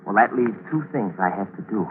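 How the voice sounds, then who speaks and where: Low-voiced scheming killer on old radio, acted by a man. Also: animated, a little fast, narrow, tinny audio, lightly acted. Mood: cold, commanding. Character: cold, scheming murderer who narrates his own crime. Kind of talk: 1940s radio mystery acting. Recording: noisy, thin 1940s radio broadcast recording.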